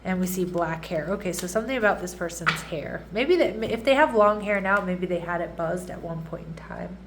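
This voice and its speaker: A woman's voice: lowish voice, expressive, a little fast, background noise. A woman giving a casual tarot reading who sounds congested and nasal, chatting and often checking in with her audience. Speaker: tarot reader.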